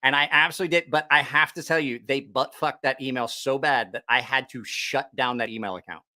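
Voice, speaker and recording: A man's voice: medium pitch, fairly even intonation, a little fast, pushed and bright. A man hosting a casual livestream, rambling and repeating himself, with plenty of swearing. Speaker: YouTube knife channel host.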